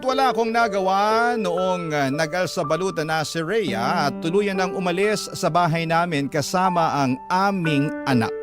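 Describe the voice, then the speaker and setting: A man's voice: fairly high pitch, close to an everyday voice. A Filipino actor playing a heartbroken letter writer, narrating his regrets in a sorrowful, confessional way. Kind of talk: first-person radio drama narration. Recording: loud music bed under narration.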